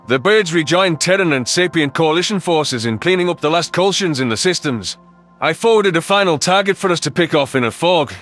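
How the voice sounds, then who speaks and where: A man's voice: on the high side, a bit bright. A man reading scripted, dramatic first-person science fiction narration as an audiobook narrator. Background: background music.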